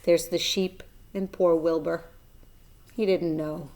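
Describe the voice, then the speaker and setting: A woman voicing a composed, brisk, motherly female spider in a children's storybook, firm and measured. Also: fairly low pitch, steady tone, mellow. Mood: thoughtful.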